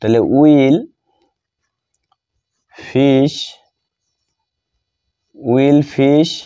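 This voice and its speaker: A man with a Bengali accent teaching English grammar, dictating as he writes and repeating each phrase deliberately, in a dull lo-fi recording. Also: medium pitch, steady tone, dark tone, clear, resonant tone. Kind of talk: grammar lesson, dictating while writing.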